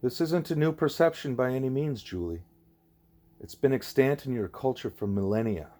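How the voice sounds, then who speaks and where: Man reading as a deliberate, emphatic gorilla teacher. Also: mid-range voice, dark tone, close to an everyday voice. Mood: patient, instructive. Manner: deliberate, didactic, emphatic on key words.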